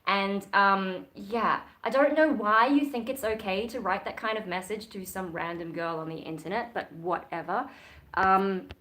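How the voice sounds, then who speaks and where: A young woman with an Australian accent talks casually through a livestream, reading viewer comments aloud and answering them as she goes. Her voice sits at a middle pitch, and she rambles with plenty of fillers. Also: moderate pace, bright, forceful tone. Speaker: YouTuber.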